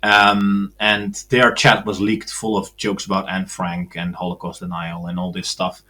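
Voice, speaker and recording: A man's voice: low voice, flat delivery, a little slow, sharp tone. A man, a guest in a casual podcast conversation, talking in a matter-of-fact way with sardonic asides.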